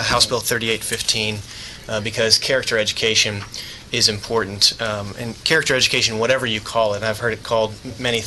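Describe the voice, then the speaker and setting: A man giving earnest, prepared testimony to a committee, halting often with filler sounds. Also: fairly low pitch, sharp tone, slight background noise, rough recording. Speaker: school board member testifying. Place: legislative hearing room.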